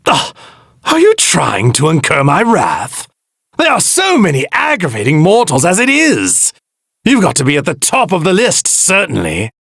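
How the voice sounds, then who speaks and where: A man voicing an arrogant evil god in a stolen warrior's body, speaking formal, grandiose video game lines in a husky, gravelly voice. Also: a little high, wildly swooping pitch, normal speed, a bit bright, dry recording, clean studio sound, exaggerated voice. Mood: haughty contempt. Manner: pompous, grandiose, formal, archaic phrasing.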